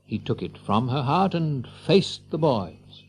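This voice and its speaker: A male narrator reads a scripted children's story. His mid-pitched voice is extremely animated and theatrical, with a little noise underneath. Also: slow pace. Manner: expressive, theatrical storyteller delivery.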